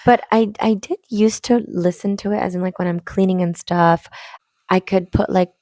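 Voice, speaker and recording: A young woman co-hosting a casual podcast, speaking in an even, low-key, reflective way. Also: normal speed, dry recording. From Canada. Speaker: podcast co-host. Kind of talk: casual chat.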